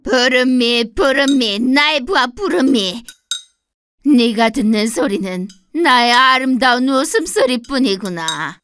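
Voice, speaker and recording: A woman's voice: high voice, expressive, a bit bright, slight background noise, heavily acted. An actress playing a vain, greedy wicked witch in a children's fairy tale, theatrical and dramatic. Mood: smug. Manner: theatrical, drawn-out delivery.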